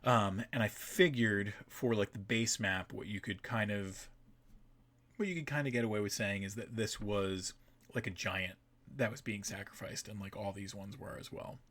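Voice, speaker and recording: A man hosting a livestream with casual commentary, explaining things in a halting, informal way. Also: lowish voice, a bit bright. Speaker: map maker and stream host.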